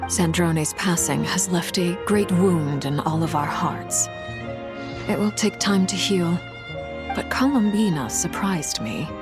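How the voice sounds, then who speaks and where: An actress playing a composed, formal noblewoman in a video game, speaking in a measured, restrained and solemn way. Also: fairly low pitch, lively intonation. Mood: gentle, grave. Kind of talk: dramatic video game dialogue. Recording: prominent music behind the voice.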